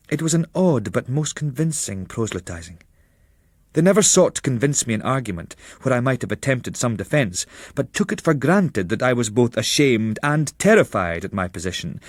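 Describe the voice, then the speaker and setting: A man reading a literary travel memoir as narrator, in a measured, expressive storytelling manner. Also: medium pitch, no room sound, clean studio sound.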